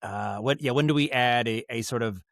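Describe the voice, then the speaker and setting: A man's voice: medium pitch, fairly quick, fairly bright tone, dry recording, clean studio sound. A man talking casually as an interview guest, explaining his craft in a thoughtful, rambling way with frequent self-corrections. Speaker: podcast guest, audio storyteller and director.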